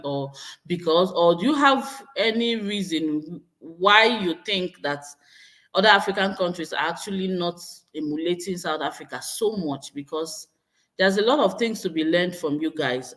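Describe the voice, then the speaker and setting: A woman's voice: on the low side, animated, normal speed, fairly bright tone. A woman in her thirties from Nigeria with a Nigerian accent, chatting to her viewers and reading their comments, laughing often and repeating her exclamations. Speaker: vlogger.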